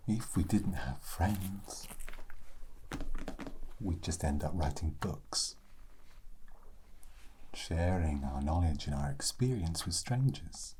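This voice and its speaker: A man voicing a sassy, morbid undead skeleton in a hushed ASMR roleplay, wry and deliberate, often slipping into a whisper. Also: sits low, animated, a little hiss or hum. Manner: slow, deliberate, wry, theatrical pauses. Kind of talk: ASMR character roleplay monologue.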